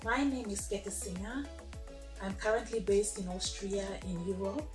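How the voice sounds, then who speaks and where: New mom, roomy echo and clear music behind, measured talk. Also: female voice, medium pitch. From Papua New Guinea. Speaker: stay-at-home mom and online entrepreneur. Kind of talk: promotional testimonial. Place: room at home. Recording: echoey room with music.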